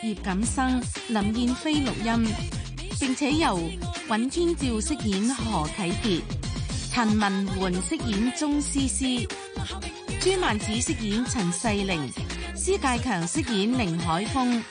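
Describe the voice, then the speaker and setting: Measured female radio announcer. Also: a little high, lively intonation. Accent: Hong Kong Cantonese. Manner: measured, formal. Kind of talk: reading closing credits. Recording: broadcast voice over music.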